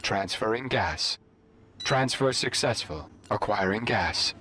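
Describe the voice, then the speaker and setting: A worker droid in a video game. Each short status announcement comes slowly, in a bright, hard tone with faint noise. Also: male voice, on the low side, animated, full character voice. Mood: mechanical. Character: flat, task-bound male-voiced worker droid.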